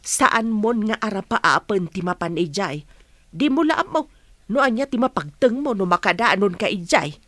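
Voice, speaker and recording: A woman with an Ilocano accent acting a worried, soft-hearted housemaid mother in a radio drama. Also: mid-range voice, lively intonation, moderate pace, dry recording, lightly acted. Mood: cautioning.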